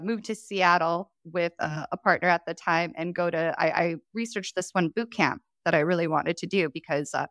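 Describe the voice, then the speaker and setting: A woman's voice: on the low side, a bit bright, no room sound, clean studio sound. A woman from Chicago telling her story as a podcast guest in a chatty way, with many fillers. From Chicago, United States. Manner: chatty, frequent fillers and laughs. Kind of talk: casual storytelling interview.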